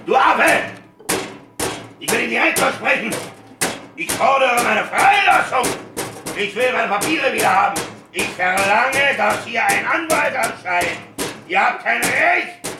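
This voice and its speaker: An actor playing a blunt, gruff ship captain held in prison in a German radio play, speaking in a dry, colloquial way. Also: male voice, extremely high pitch, full character voice. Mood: furious, shouting. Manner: dry, laconic, colloquial, demanding. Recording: echoey room, clearly noisy older recording.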